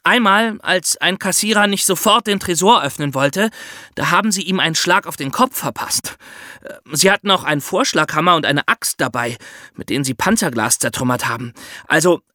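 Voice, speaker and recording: A man's voice: on the high side, moderate pace, pushed and bright, dry recording, studio quality. A male podcast co-host, narrating and discussing true crime.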